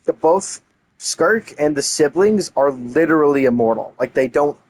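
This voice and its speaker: A man's voice: a little high, expressive, normal speed, rough recording. A man giving casual commentary on a game playthrough in a rambling, blunt, sweary way. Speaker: game streamer. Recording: faint background game noise.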